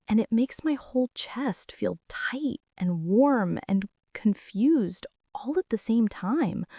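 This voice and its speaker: A woman playing a shy, quiet, self-doubting high school girl in an ASMR roleplay, speaking softly and hesitantly. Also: dry recording, clean studio sound. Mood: tender, confused. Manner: soft, hesitant, flustered, often breaking into breathy whispers.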